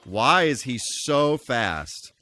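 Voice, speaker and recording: A man's voice: medium pitch, sing-song, extremely slow, pushed and bright, slight background noise. A man giving casual live commentary while playing a video game, full of mild folksy exclamations and mock-exasperated asides. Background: music.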